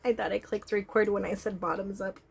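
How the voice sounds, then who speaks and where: A woman's voice: mid-range voice, fairly even intonation, fairly quick, vocal fry, noisy background. A woman with a stuffy head cold, chatting casually through a cooking vlog in a small RV kitchen, with lots of "like" and "um". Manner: chatty, with many "like" and "um" fillers. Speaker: RV travel vlogger.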